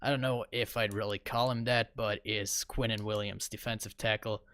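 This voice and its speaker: A man's voice: on the low side, fairly even intonation, moderate pace, a bit bright, no room sound. A young man co-hosting a sports podcast, giving casual, rambling analysis with lots of fillers. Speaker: podcast co-host.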